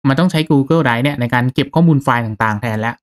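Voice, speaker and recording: A man narrating a step-by-step software tutorial in a casual, conversational, instructional way. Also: medium pitch, quick pace. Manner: instructional, conversational with polite particles. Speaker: tutorial host.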